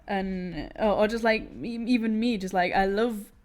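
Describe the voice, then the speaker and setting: A woman's voice: mid-range voice, fairly even intonation, normal speed. A woman with a British accent, born in Italy, chatting casually with her stream while she draws, giggling often and restarting her sentences. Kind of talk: casual chat while drawing.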